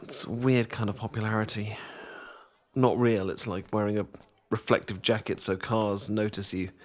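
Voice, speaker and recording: A man's voice: lowish voice, flat delivery, normal speed, natural voice. A young British man playing a shy, self-deprecating student in an audio roleplay, hesitant and stammering as he talks to his best mate. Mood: wry, rambling. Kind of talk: ASMR boyfriend-style audio roleplay, casual monologue.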